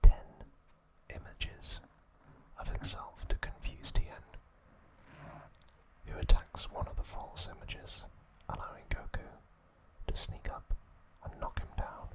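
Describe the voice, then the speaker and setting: ASMR host, sex indistinct: breath-only whisper for scripted trivia narration, soft throughout. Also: slow pace, low-quality recording.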